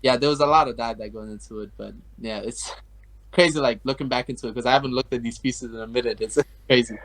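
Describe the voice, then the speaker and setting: A young man from Afghanistan talks at a quick clip in a mid-range voice. He rambles through his story as an interview guest, stringing thoughts together with constant fillers. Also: mid-range voice, steady tone, fairly bright tone, poor audio. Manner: rambling, heavy use of like and you know. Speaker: guest artist. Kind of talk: casual interview chat.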